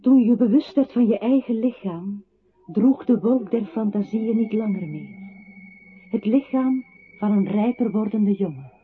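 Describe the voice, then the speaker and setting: A high-pitched, solemn voice in a radio drama, reciting someone's life back to him in literary second person. The sound is thin and narrow, with light hiss behind it. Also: male voice, expressive, measured pace. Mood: solemn, poetic. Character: accusing male voice narrating a captive's life to him. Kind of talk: radio play, poetic second-person narration. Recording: tinny, narrow old radio recording with hiss.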